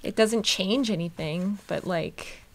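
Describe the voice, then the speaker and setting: A woman's voice: mid-range voice, slow. A thirty-year-old woman chatting informally and jokily as a co-host on a live stream. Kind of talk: casual chat.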